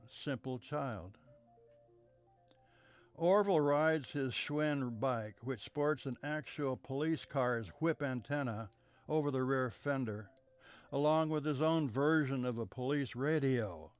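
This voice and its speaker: A man reading a childhood memoir aloud in a measured storytelling manner, letting sentences trail off softly at their ends. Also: medium pitch, clear, resonant tone, dry recording.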